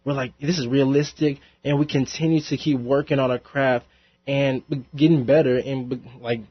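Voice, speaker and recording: A man's voice: mid-range voice, steady tone, moderate pace, breathy edge, dry recording. Young rapper guy chatting on college radio, laughs a lot, dry studio, kinda dull sound. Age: young adult. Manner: laid-back, laughs often, rambling with fillers. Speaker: rapper guest. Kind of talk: casual interview chat.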